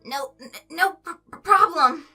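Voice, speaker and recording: A woman's voice: extremely high pitch, measured pace, faint music behind, voice pushed up, heavily acted. A woman voicing an anxious, twitchy teenage boy who stammers and yelps nervously in a story reading. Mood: nervous, stammering. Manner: nervous, stammering, yelling into the mic.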